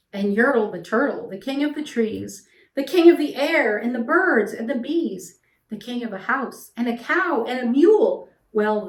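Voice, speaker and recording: A middle-aged woman reading a rhyming children's picture book aloud as an expressive storyteller. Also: on the high side. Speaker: storyteller, read-aloud host. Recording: echoey room, distant mic.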